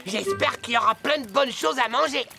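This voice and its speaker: A woman's voice: a little high, fairly quick, vocal fry. A woman voicing a young, wary child character in a French children's cartoon. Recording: music behind the voice.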